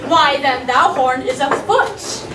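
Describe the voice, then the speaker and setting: A woman's voice: sits high, slow pace. A woman playing a cheeky, grumbling male servant on an amateur stage, often breaking into laughter. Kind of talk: amateur stage acting of verse. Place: stage before a live audience. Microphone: distant room mic. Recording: echoey, distant, noisy hall recording.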